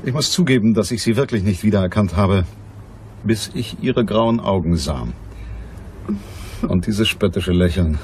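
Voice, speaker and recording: Man acting a low-voiced, sardonic hard-boiled detective. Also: unhurried, long pauses, a little mellow, a little hiss or hum, close to an everyday voice. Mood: skeptical, curt. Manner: dry, curt, mocking. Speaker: actor playing the detective and narrator. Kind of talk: German crime radio drama acting and narration.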